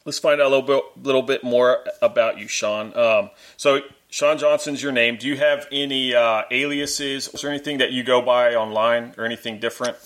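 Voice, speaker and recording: A man's voice: medium pitch, steady tone, brisk, few pauses, fairly bright tone. A man hosting a podcast, interviewing a friend in a friendly, easygoing way. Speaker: podcast host. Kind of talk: casual interview chat.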